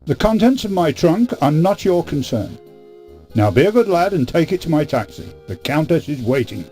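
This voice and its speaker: An actor voicing a prim, condescending gentleman traveler in a theatrical video game scene. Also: a little high, very animated, moderate pace. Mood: haughty, guarded. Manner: prim, dismissive, superior. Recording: music under the voice. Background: synthesized game music.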